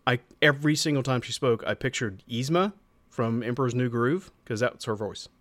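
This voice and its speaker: A man's voice: medium pitch, moderate pace, fairly bright tone, dry recording. A man co-hosting a podcast, giving blunt, opinionated views in casual conversation. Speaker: podcast co-host. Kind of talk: casual chat reviewing an audio drama.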